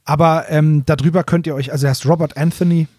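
A man's voice: on the high side, steady tone, quick pace, darkish tone, no room sound. A man co-hosting a podcast, explaining casually and repeating words for emphasis. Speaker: podcast co-host.

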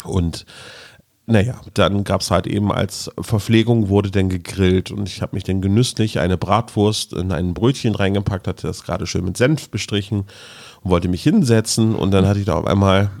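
Podcast co-host, male, middle-aged, lower register, relaxed storyteller in casual conversation. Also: normal speed, vocal fry.

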